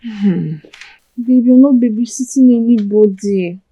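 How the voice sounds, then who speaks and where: An actress playing a wife gently coaxing her husband into favors. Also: a little high, measured pace, no room sound, rough recording.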